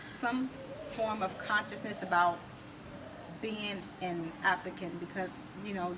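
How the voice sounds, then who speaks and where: An American woman telling her personal story as an interview guest, emphatic and reflective, in short deliberate phrases. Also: medium pitch, steady tone. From United States. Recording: distant mic, clearly noisy background.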